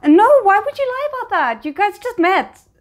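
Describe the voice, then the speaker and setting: A woman's voice: very high voice, very animated, normal speed. A woman giving casual, off-the-cuff reaction commentary as she watches a show, with muttered wry asides. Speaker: reaction video host.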